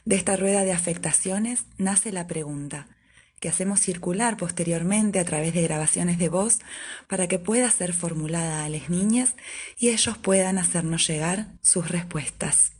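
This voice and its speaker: A woman presenting her association's project in a scripted, measured presentation style. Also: fairly low pitch, darkish tone, breathy edge.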